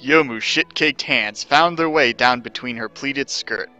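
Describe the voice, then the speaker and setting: A man's voice: medium pitch, measured pace, bright, forceful tone, faint music behind. A man giving a mock-dramatic scripted story reading as narrator, theatrical and emphatic.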